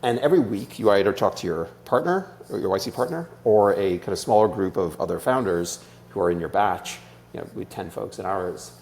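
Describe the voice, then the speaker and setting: An Irish man speaks in a deep voice with plenty of vocal fry. He explains things casually, like a founder in an interview, over faint noise and hum. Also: brisk, darkish tone. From Ireland. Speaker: startup co-founder, interview guest.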